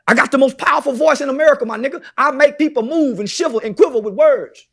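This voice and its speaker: A man's voice: extremely high pitch, fast talker, creaky. A man talking brashly as a podcast guest, boastful and preachy, swearing constantly.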